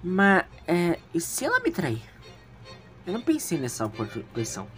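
A man's voice: sits high, huge pitch swings, normal speed, breathy edge, faint music behind, full character voice. Man acting as Victor, an agitated, stammering young man. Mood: nervous, doubtful. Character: Victor, anxious, hot-tempered young male school politician. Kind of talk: amateur audio drama acting.

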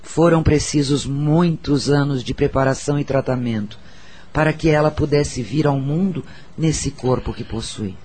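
An actor with a Brazilian accent playing a solemn, gentle spirit mentor in a radio drama, speaking in a measured, formal way. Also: male voice, on the high side, slightly rough.

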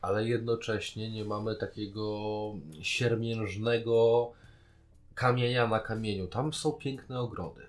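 A man co-hosting a podcast, casually discussing a series in an expressive way, drawing out key words. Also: on the low side, measured pace. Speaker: podcast co-host. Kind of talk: casual review chat about a TV series.

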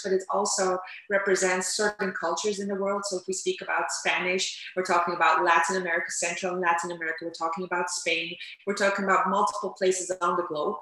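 A woman raised in the Netherlands, answering interview questions in an animated, storytelling way with frequent fillers. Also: medium pitch. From Turkey, raised in the Netherlands. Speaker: interpreter and language coach guest. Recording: echoey room.

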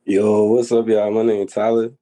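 A man's voice: lowish voice, level tone, moderate pace, dark tone. A twenty-year-old man from Alabama, a new podcast co-host, joking and boisterous. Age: 20s.

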